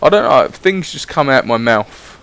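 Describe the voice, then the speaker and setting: Rambling lad on gameplay commentary. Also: male voice, medium pitch, expressive, moderate pace, a bit bright, smooth. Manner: rambling, chatty, swears casually. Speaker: gaming YouTuber. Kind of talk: casual voice-over gameplay commentary.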